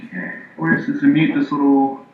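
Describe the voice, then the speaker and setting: A man's voice: a little high, level tone, normal speed. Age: adult. Sex: man. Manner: eager, curious. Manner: eager, asking questions. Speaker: caller. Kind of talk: casual chat. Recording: dull, distant, echoey line.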